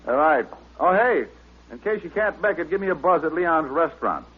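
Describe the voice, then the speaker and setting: An actor playing a wisecracking, easygoing private detective in a vintage radio drama, with a glib, casual manner. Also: male voice, fairly high pitch, animated, normal speed, husky. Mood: casual, friendly. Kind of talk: 1940s-style radio detective acting. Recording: narrow, noisy old radio recording.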